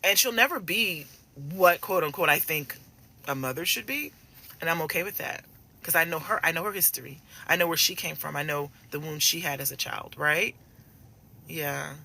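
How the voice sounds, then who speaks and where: A woman in her forties with a very bright, forceful voice, pitched on the lower side for a woman. She talks like a coach in a casual live chat, addressing listeners directly and familiarly. Her delivery is emphatic, with key phrases repeated for weight. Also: moderate pace, creaky. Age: 40s. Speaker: relationship coach addressing women.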